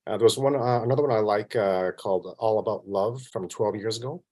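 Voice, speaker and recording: A man from Vancouver with a Canadian accent, chatting casually as an interview guest in a modest, self-deprecating, halting way. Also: fairly low pitch, dark tone. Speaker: interview guest, film composer.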